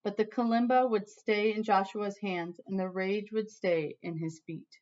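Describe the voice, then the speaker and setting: A woman teacher reading a storybook aloud to students in an animated, emphatic way, with casual asides. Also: medium pitch, moderate pace, lo-fi sound.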